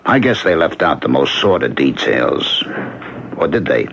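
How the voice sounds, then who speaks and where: An actor playing a gentle, plain-spoken widowed drifter with a halting, conversational manner in an old-time radio drama. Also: male voice, rumbling low, sing-song, noisy background, exaggerated voice. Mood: gentle, probing. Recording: muffled vintage radio broadcast.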